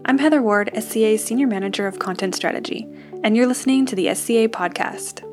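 A woman hosting a podcast, reading a scripted introduction in a smooth, even manner. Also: a little high, fast talker, over music. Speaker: podcast host.

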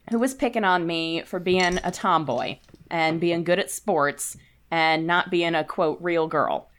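A young woman playing in a tabletop game, answering in character with rambling "I mean" restarts and giggles. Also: on the low side. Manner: giggly and animated. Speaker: player.

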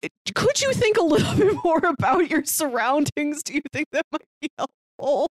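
A woman chats casually as a podcast co-host. Her voice sits fairly high and swings animatedly up and down as she talks through a film. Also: big pitch swings, moderate pace, no room sound, clean studio sound. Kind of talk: casual film discussion chat.

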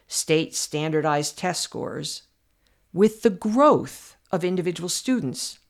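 An older American woman reading her own essay aloud as a podcast commentator, earnest and measured, pressing hard on her key points. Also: medium pitch.

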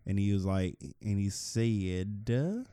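A man co-hosting a casual sports podcast, rambling and joking, getting loud and lively when he argues. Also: lowish voice, slow, clear, resonant tone, no room sound, clean studio sound. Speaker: podcast co-host.

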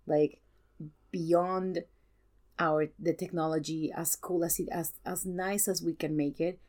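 Woman w a low voice, casual podcast guest, really earnest and emphatic, stops to find her words. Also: fairly even intonation, a little mellow. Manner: earnest, emphatic, halting as she searches for words. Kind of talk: casual film discussion.

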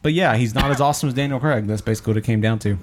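A man's voice: medium pitch, very fast. A man hosting a casual movie discussion, joking, profane and opinionated. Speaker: podcast host leading a film discussion.

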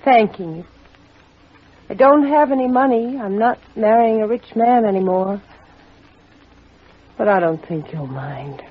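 A woman's voice: mid-range voice, animated, measured pace, long pauses, natural voice. An actress playing a coy, seductive female client in a 1950s radio detective drama. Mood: coy, inviting. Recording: muffled, noisy old radio recording.

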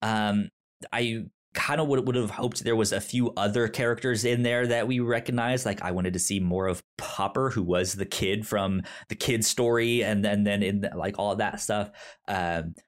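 A man co-hosting a casual film discussion, thinking aloud haltingly and often repeating words as he works toward his point. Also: on the low side, fairly even intonation. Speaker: podcast co-host.

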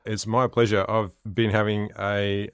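Deep-voiced podcast host. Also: male voice, little pitch movement, measured pace, smooth, no room sound. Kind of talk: casual interview chat.

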